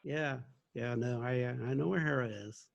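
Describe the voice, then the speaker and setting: Gravelly man chatting on a video call. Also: mid-range voice, normal speed, muffled recording. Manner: friendly, chatty, laughs easily. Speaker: newly appointed university regent. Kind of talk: casual video call chat.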